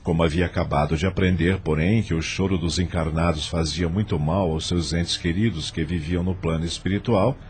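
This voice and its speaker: A man's voice: deep voice, steady tone, brisk, few pauses, a little mellow, slight background noise. A man with a Brazilian accent narrating an audio novel as scripted, dramatic storytelling.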